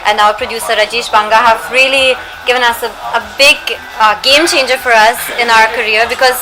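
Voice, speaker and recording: A woman's voice: a little high, fairly even intonation, brisk, background noise. A young woman, an actress, chatting playfully and laughing often in a press interview; her first language is not Hindi. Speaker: actress promoting a film.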